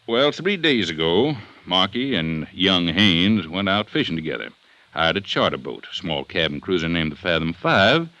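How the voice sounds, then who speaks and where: A man playing an assertive district attorney in an old-time radio detective drama, speaking with deliberate emphasis. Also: deep voice, big pitch swings, bright, forceful tone, dry recording, exaggerated voice, low-quality recording. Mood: matter-of-fact. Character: assertive Southern district attorney building a murder case. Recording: vintage radio drama recording.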